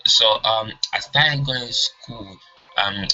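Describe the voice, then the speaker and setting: Male course presenter, 20s, Congo-born non-native English speaker, very sharp bright tone, hesitant delivery, music underneath. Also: fairly low pitch, takes it slowly. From Congo, then England. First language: not English. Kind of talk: informal lesson with personal story. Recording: dull lo-fi audio, music behind.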